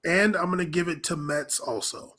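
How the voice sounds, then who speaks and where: A man with a clearly high, bright voice. He speaks as a podcast guest in loose, casual sports talk, with a forward, sharp tone. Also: normal speed.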